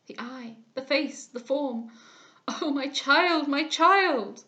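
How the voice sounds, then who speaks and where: A woman's voice: high voice, normal speed, fairly bright tone, lo-fi sound. A woman reading aloud, voicing a remorseful aristocratic father with impassioned, declamatory outbursts. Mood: anguished. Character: remorseful aristocratic father, older man, anguished.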